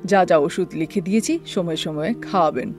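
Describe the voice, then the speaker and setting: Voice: medium pitch, fairly quick, a little mellow, faint music behind, close to an everyday voice. A voice actor playing a blunt male doctor who firmly lectures a family in a storybook cartoon. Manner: firm, lecturing.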